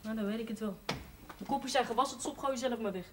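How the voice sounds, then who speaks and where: A woman's voice: fairly high pitch, fast talker, close to an everyday voice. An actress playing a blunt, chatty young woman looking for work as a maid, in a 1970s TV drama. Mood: cheeky. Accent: Dutch. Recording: old TV soundtrack with faint hiss.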